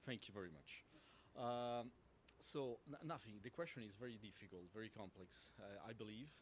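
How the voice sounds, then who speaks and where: A man with an Italian accent, a native Italian speaker, answering as a panelist, halting and searching for words with many fillers. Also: lowish voice, slight background noise. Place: conference hall panel.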